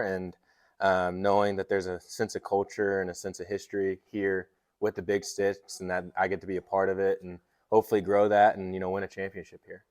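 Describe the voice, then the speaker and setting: Man in his twenties, low voice, narrow intonation, dry close sound, casual coach interview. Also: a little mellow, no room sound. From North Carolina, United States. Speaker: baseball hitting coach.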